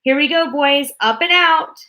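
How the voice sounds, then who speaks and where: A woman voicing an excitable, eccentric grown man in a storybook reading, talking in bouncy, grand exclamations. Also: a little high, moderate pace, lo-fi sound, lightly acted. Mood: gleeful.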